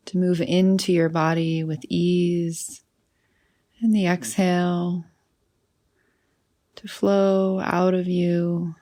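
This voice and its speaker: A woman leading a guided meditation in a soothing, unhurried manner, often trailing off into breathy softness. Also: fairly low pitch.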